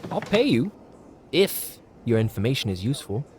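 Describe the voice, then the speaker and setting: An actor in an audio drama playing a short, shifty, bullying political fixer with a blustering, argumentative way of talking. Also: male voice, medium pitch, big pitch swings, moderate pace, slight background noise, natural voice. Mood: guarded, bargaining.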